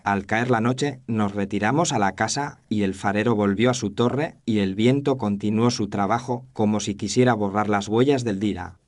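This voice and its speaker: A man's voice: fairly low pitch, moderate pace, few pauses, close to an everyday voice. A man narrating as a thoughtful doctor recounting a case in an audio drama, in a reflective, literary manner. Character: thoughtful male doctor narrating his memoir. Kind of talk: scripted narration.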